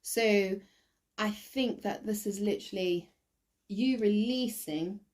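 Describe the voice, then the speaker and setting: A woman with a croaky voice doing a casual tarot reading to camera, thinking aloud with many ums and restarts. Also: mid-range voice, slow pace, a little mellow. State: croaky, recovering from bronchitis. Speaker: tarot reader.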